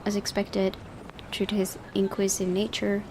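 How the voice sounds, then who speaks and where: A woman's voice: medium pitch, monotone, moderate pace, a little mellow, a little hiss or hum. A woman hosting a gaming video, reading visual novel narration aloud and adding casual commentary.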